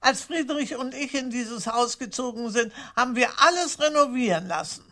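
A penny-pinching old aunt in a radio crime drama. Her voice sits high and cuts sharply, and she scolds and demands with indignant fussiness. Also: expressive, normal speed, a bit bright. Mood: nagging, indignant. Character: stingy, fussy, nagging old aunt of seventy-seven. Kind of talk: German radio crime play acting.

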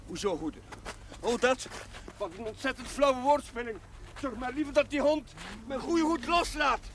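Earnest young chaplain, high lively voice, a bit flustered, faint hiss. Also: male voice, normal speed. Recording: 1970s TV audio with faint noise.

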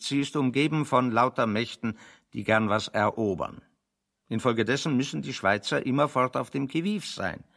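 An actor playing a dry, opinionated man in a German radio play, reasoning with deadpan, sardonic deliberation. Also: mid-range voice, creaky, heavily acted.

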